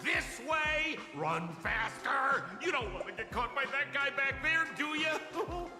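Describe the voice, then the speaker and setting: Boastful playful rogue in a game, high voice all over the place, big echoey hall, music. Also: male voice, lively intonation, takes it slowly, full character voice. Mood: playful, excited. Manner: theatrical, showman-like. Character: flamboyant, smooth-talking male rogue, boastful and playful. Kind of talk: video game voice acting.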